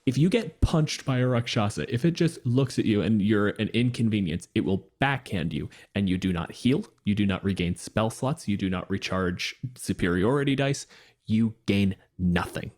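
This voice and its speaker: Low-voiced man reading monster rules. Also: animated, darkish tone. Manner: reads stat blocks in a measured, explanatory way. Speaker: podcast co-host. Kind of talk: casual chat reading game rules.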